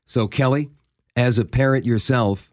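A man's voice: medium pitch, unhurried, a little mellow, no room sound, studio quality. A Canadian man hosting a casual talk show, setting up scenarios and quizzing his co-hosts. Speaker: host. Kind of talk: casual radio chat.

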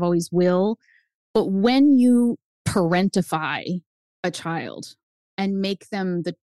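A woman in her fifties whose first language is Spanish, telling her family story as a guest author, animated and jumping up in pitch for emphasis. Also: dry recording, studio quality. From United States. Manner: animated, swings up high for emphasis. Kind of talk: personal storytelling in an interview.

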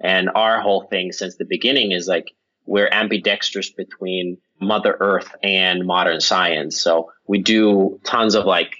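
A man's voice: low voice, a bit bright, muffled recording. A man from Finland, a company founder speaking as a guest in a casual interview. He rambles a little, with many fillers and restarts. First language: Finnish.

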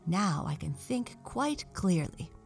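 Woman acting out a thoughtful rag doll girl, unhurried. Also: on the low side, very animated, vocal fry, soft background music, heavily acted. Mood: relieved.

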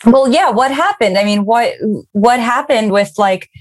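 A woman's voice: on the high side, unhurried, no room sound. A woman hosting an interview, asking curious, prompting questions in a casual tone. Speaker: interviewer, host.

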